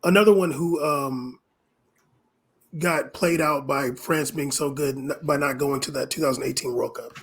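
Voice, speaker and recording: A man co-hosting a casual soccer podcast, speaking in a halting, loosely phrased way peppered with "like". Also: mid-range voice, husky. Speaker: podcast co-host and soccer pundit. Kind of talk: casual sports discussion.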